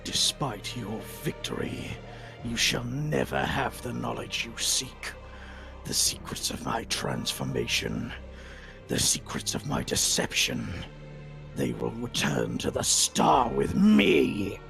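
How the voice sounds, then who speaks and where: A British man voicing a proud, bitter fallen male villain with theatrical, menacing delivery and drawn-out whispers. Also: medium pitch, wildly swooping pitch, vocal fry, music in the background, pitched below the performer's own voice, full character voice. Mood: scornful.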